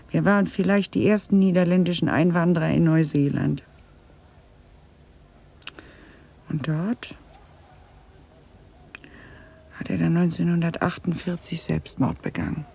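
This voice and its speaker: An actress playing a haunted middle-aged woman in a German radio drama, confiding grave family guilt in a halting, husky voice. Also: fairly low pitch, steady tone, dark tone, a little hiss or hum. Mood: troubled. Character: haunted Dutch woman confessing her family's wartime guilt. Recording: slightly dull 1980s radio recording.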